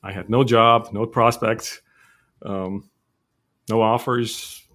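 Low, level-voiced man telling his story. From Lebanon. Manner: steady, measured storytelling with frequent fillers. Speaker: guest. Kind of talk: casual interview.

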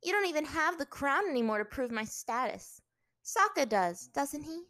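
A woman narrator acts out a proud young captive princess in a fantasy story. Her voice jumps high and turns sharp with indignation. She is scornful and sarcastic, biting off words in open defiance, in a dry recording. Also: very animated, brisk, no echo.

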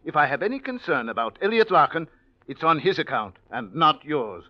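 A man's voice: on the high side, big pitch swings, fairly quick. An American man playing a stern, disapproving older uncle in a 1940s radio drama, blunt and severe. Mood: stern, scolding. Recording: muffled old radio recording.

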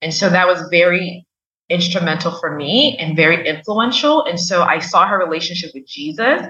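A woman from Liberia telling her own story as an interview guest, in a measured, emphatic way. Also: on the low side, pushed and bright, reverberant, distant mic, lo-fi sound, a little hiss or hum. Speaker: podcast guest, coach.

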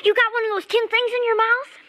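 A woman playing an excitable, slangy young schoolkid with a brash manner, in old-time radio drama style. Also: extremely high pitch, brisk. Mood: eager. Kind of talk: 1950s radio drama acting. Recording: noisy old radio broadcast recording.

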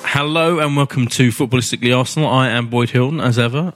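A man's voice: medium pitch, fast talker, fairly bright tone, soft background music. A man hosting a football podcast in casual chat, stuffed up with a cold, chatty and self-mocking. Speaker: podcast host.

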